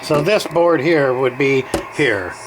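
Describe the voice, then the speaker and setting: Slow-talking man over clear background noise. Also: mid-range voice, lively intonation. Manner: rambling, mutters to himself while searching. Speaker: radio repair hobbyist. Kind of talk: informal hands-on repair walkthrough. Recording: clear background noise, amateur workbench video.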